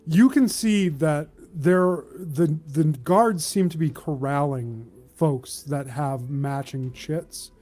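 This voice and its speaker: Mellow, higher-voiced man running a game. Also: faint music behind. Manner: measured, descriptive, pausing mid-sentence. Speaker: game master. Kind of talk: improvised tabletop game narration.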